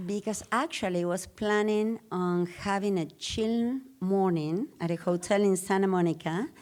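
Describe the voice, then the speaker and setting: A woman's voice: mid-range voice, slow, pauses often, darkish tone. A middle-aged woman with a Spanish accent, native Spanish speaker, speaking earnestly and reflectively as a guest on a live podcast interview.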